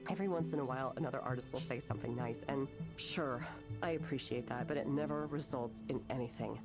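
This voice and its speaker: An actress voicing an anguished, bitter, self-doubting woman artist in a naturalistic visual novel, speaking haltingly and emotionally. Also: extremely low pitch, over loud music. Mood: frustrated, bitter. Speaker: counselling patient.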